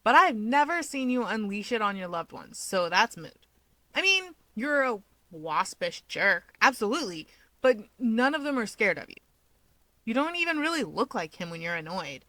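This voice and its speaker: A woman's voice: fairly high pitch, big pitch swings, moderate pace, sharp tone, no room sound, low-quality recording. A woman voicing an earnest, teasing young man who keeps pushing in a casual way. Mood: puzzled, insistent.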